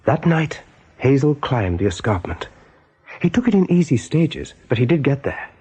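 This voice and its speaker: Man, scripted drama narration, warm dark tone, slightly rough breathy voice, measured literary delivery. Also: medium pitch, big pitch swings. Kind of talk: scripted radio play narration.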